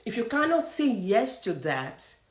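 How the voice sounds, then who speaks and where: Animated Nigerian woman coach, echoey room. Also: a little high, unhurried. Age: young adult. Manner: forceful, preachy, direct challenges to listeners. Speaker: career coach. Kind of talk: motivational talk.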